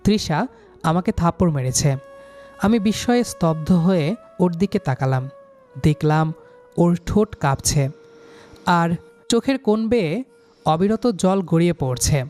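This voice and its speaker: A man's voice: on the high side, animated, unhurried, long pauses, darkish tone, soft background music. A young man narrating a scripted first-person love story in a dramatic, sentimental manner.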